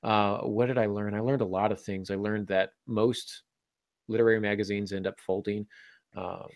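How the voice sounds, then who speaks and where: A man speaks in a low voice with a gravelly, creaky edge, recorded close and dry. He talks casually as a podcast co-host, thinking aloud in reflective, halting phrases. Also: level tone, no room sound.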